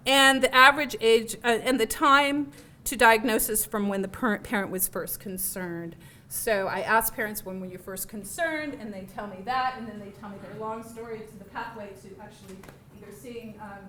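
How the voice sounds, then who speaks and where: A woman's voice: a little high, normal speed, a little hiss or hum. A woman researcher giving an academic talk in a lecture room, reading figures off her slides with frequent fillers and self-corrections. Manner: says she has a loud voice. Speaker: researcher presenting a study.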